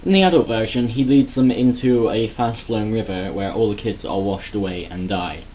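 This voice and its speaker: A man's voice: lowish voice, moderate pace, dark tone, slight background noise, low-quality recording. Sarcastic, crude guy ranting. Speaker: vlogger. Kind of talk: casual comedic rant.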